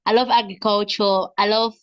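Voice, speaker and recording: A woman's voice: medium pitch, level tone, normal speed, no echo. A woman from Cameroon, facilitating a webinar in a friendly, chatty way over a video call. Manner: friendly, chatty self-introduction. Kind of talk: casual webinar chat. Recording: dull lo-fi video call audio.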